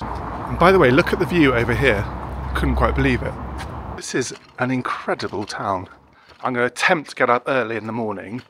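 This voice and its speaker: A man's voice: mid-range voice, a bit bright, a little hiss or hum. A man in his forties chatting casually to the camera as a travel vlogger, walking outdoors with a handheld device. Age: 40s. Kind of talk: casual vlog commentary to camera. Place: walking outdoors in narrow night streets. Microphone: phone or camera at arm's length.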